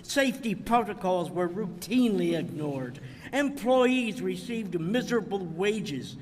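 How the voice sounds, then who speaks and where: High-voiced indignant little whistleblower, echoing hall. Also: male voice, expressive, far from the mic. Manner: oratorical, declamatory, deliberate. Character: small, insignificant man turned earnest, indignant whistleblower. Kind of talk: formal speech in a game cutscene. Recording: hall reverb, faint background noise.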